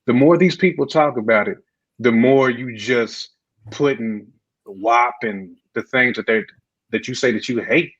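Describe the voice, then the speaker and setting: Slightly muffled, band-limited audio carries a man's mid-pitched voice. He argues his opinions colloquially, as one does on a casual podcast panel. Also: a bit bright, slightly rough.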